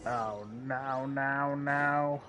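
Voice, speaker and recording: Slow-paced man streaming a game. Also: mid-range voice, steady tone, pushed and bright, a little hiss or hum. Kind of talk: casual chat while streaming a game.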